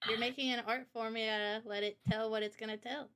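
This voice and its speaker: A woman with a somewhat high-pitched voice talks casually on a livestream. She explains things informally and with firm opinions, as if chatting with a friend. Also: fairly even intonation, fast, very noisy. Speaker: streamer and game developer. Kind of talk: casual livestream chat, explaining art ideas.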